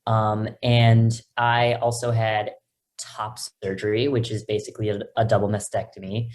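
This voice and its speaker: A young man telling his own story in an interview, in a halting, hesitant way. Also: fairly low pitch, darkish tone. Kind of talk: personal testimony.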